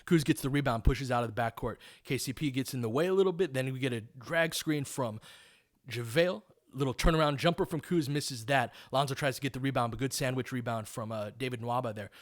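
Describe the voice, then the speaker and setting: A man's voice: mid-range voice, a little fast. A man giving live, informal basketball play-by-play as a partisan fan, breaking down tactics in detail as he goes. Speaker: play-by-play commentator.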